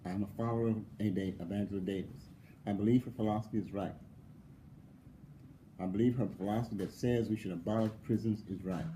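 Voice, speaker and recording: A man's voice: lowish voice, steady tone, quick pace, mellow, a little hiss or hum, poor audio. A male philosophy professor answering an interviewer in a conversational way. He asks mocking rhetorical questions, laughs often and repeats points for stress.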